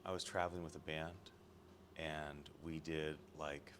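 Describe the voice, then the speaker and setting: An American man hosting a relaxed interview, rambling and chatty with lots of fillers. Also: a bass voice, unhurried, a little hiss or hum. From United States. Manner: rambling, chatty, laughs often, trails off with fillers. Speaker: host. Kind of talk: casual interview. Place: room at an event in London.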